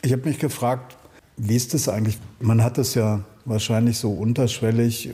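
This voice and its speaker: A middle-aged man interviewing a guest, asking thoughtful, probing questions in a relaxed way. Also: medium pitch, moderate pace. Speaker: interviewer, former correspondent. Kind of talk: conversational interview.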